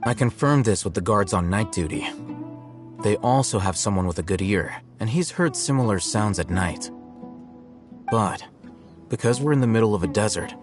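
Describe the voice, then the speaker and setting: A man voicing a composed male scholar ally in a video game, calm, measured and matter-of-fact. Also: on the low side, natural voice. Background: game background music.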